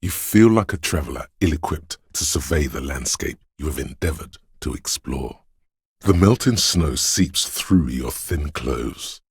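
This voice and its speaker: A man voicing a brooding, omniscient inner voice of a city in a video game, narrating gravely and poetically in a measured, husky, gravelly voice. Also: very deep voice, expressive, dry recording, clean studio sound, heavily acted. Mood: brooding, solemn. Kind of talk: slow literary second-person game narration.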